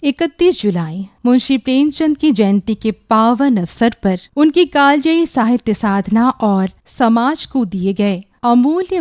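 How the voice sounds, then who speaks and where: A woman's voice: fairly high pitch, darkish tone, no room sound, studio quality. A woman announcing a radio drama in formal scripted narration with a solemn, literary delivery.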